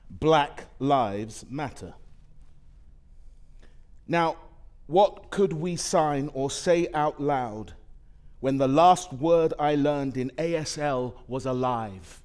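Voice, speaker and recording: A man giving a dramatic poetry recital on stage, measured and weighty, with deliberate pauses. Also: mid-range voice. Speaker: actor reading poetry on stage. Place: theatre stage.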